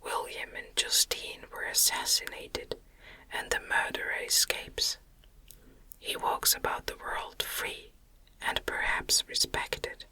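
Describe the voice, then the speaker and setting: A woman reading a gothic novel as ASMR in a gentle, soothing, breathy whisper. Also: takes it slowly, rough recording. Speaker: audiobook reader.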